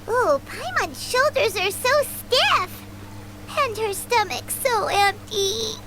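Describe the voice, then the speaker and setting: A woman voicing a tiny, chirpy, food-loving fairy companion with a squeaky childlike voice in a video game. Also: animated, slow, heavy background noise, full character voice. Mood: whiny, worn out. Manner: speaks of herself in third person, exclamatory. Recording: loud game music underneath.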